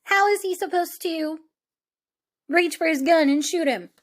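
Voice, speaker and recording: A woman's voice: extremely high pitch, measured pace. A woman hosting a true crime podcast, giving casual commentary in a conversational, opinionated and emphatic way.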